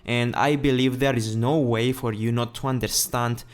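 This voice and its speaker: A man's voice: medium pitch, steady tone, moderate pace. Greek-accented young man talking in a gym. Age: young adult. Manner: earnest, plain wording with restarts. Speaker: fitness youtuber. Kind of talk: casual vlog talk to camera. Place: large indoor gym.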